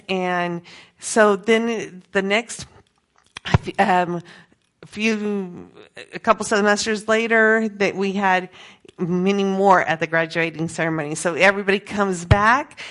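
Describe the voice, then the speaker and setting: Middle-aged American woman, halting informal speaker. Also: mid-range voice, fairly bright tone. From grew up in Peru as an American missionary kid. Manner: conversational, halting, self-correcting. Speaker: missionary speaker giving a presentation. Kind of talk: informal talk to a group.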